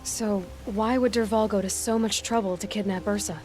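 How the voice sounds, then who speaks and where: A woman's voice: medium pitch, steady tone, moderate pace, darkish tone, faint music behind, lightly acted. A woman playing an inquisitive, level-headed young huntress in a video game, speaking plainly and directly. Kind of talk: video game cutscene acting.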